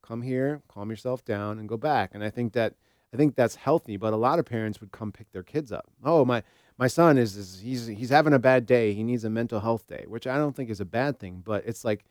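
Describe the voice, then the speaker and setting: A man's voice: mid-range voice, a little fast, darkish tone, no echo, studio quality. A middle-aged American man co-hosting a podcast as a therapist, talking casually and giving his opinions in a conversational way.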